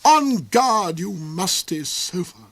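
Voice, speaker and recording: A man's voice: high voice, animated, takes it slowly, a bit bright, voice pushed up, exaggerated voice. A man voicing a cold, cruel duke who roars and sneers theatrically. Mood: roaring defiance.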